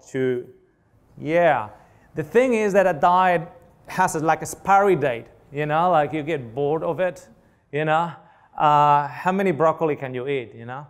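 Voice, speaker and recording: A man with a Spanish accent, speaking English as a second language, runs a live nutrition seminar in a chatty, joking way. His voice sits a little high and comes across smooth and clear. He keeps turning to the audience with quick questions. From Spain. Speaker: clinical nutritionist giving a seminar.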